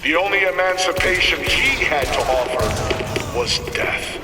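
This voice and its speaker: An actor playing a zealous, menacing older prophet in a video game, preaching in pompous, theatrical oratory with dramatic pauses. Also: male voice, sits high, lively intonation, echoey room, in a large hall, far from the mic, loud music behind the voice. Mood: bitter, scornful. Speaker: main antagonist.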